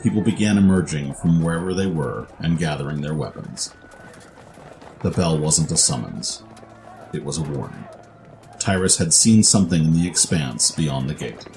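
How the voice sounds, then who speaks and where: A man's voice: a bass voice, expressive, normal speed, darkish tone, soft background music. A man narrating a scripted fantasy story in a dramatic style.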